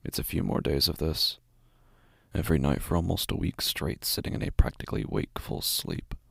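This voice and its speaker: A man playing an exhausted, anxious father, narrating a first-person horror audio drama in a gravelly, husky, halting voice. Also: a bass voice, expressive, no echo, studio quality, full character voice. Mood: weary, brooding. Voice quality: gravelly, husky, often breathy. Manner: hushed, halting delivery with heavy sighs. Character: exhausted, anxious father haunted by his daughter's calls.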